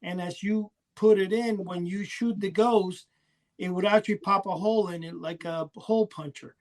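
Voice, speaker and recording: High-pitched man, chatty and rambling. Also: steady tone, moderate pace, darkish tone. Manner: chatty, rambling, detail-heavy. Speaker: podcast co-host. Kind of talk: casual rambling reminiscence about old toys.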